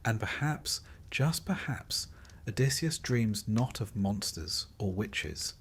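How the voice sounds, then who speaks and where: Low-voiced man narrating a bedtime story. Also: lively intonation, takes it slowly, a bit bright, husky.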